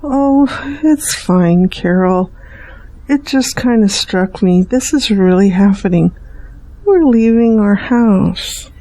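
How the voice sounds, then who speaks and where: An actor, a woman, playing a sweet, excitable middle-aged mother in an audio drama. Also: mid-range voice, takes it slowly. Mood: wistful.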